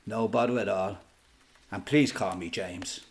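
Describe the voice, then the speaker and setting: A man's voice: lowish voice, unhurried. An actor playing an older Dublin father and former freedom fighter in a radio play, with an Irish accent and a folksy, colloquial way of talking. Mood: easygoing, hospitable. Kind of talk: radio play acting, conversation and reminiscence.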